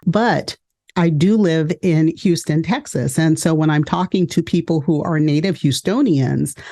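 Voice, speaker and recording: A woman from California hosting an education webinar, speaking in a clear, measured, list-like way. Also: sits low, dark tone. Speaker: education consultant and podcast host. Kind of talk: scripted webinar presentation.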